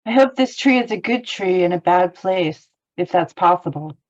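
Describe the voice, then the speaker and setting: A woman's voice: lowish voice, expressive, normal speed, dull audio. A woman playing a weary, burdened young male hobbit in a script read, plaintive in manner. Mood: drowsy.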